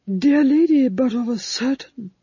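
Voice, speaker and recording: A narrator voicing a theatrical man who speaks in grand, overly polite phrases, slow and husky. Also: sits high, animated, warm tone, dry recording, lo-fi sound, pitched above the performer's own voice, full character voice. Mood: flowery. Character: flowery, theatrical man, a playwright.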